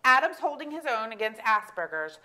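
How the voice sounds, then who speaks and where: A woman's voice: on the high side, very animated, brisk, pushed and bright. A woman acting an exasperated, candid middle-aged mother in a staged comedy reading, with a wry, emphatic delivery. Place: auditorium stage.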